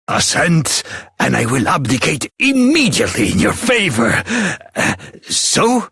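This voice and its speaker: An actor playing a pompous, stubborn old king with a raspy, creaky voice and flowery, theatrical speech, in a fantasy video game. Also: a little high, fairly bright tone, dry recording, clean studio sound, exaggerated voice. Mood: grateful, pleading. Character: weary, wheedling, stubborn old king eager to abdicate.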